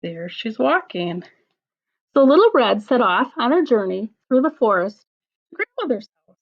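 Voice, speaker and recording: A woman teacher reading a storybook aloud to children, in simple phrases with deliberate pauses. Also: fairly high pitch, sing-song, lo-fi sound. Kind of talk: storybook read-aloud with explanatory asides.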